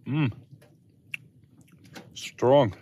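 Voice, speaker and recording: A man in his thirties chatting casually and reflectively to camera, unhurried, speaking in short phrases with pauses. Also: mid-range voice, dark tone, a little hiss or hum. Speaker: outdoor vlogger. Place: inside a small tent and outdoors in snowy woods.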